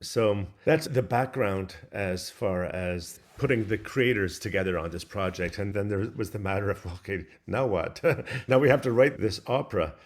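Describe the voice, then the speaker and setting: Male composer as interview guest, lower-pitched voice, explanatory and conversational. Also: normal speed, few pauses.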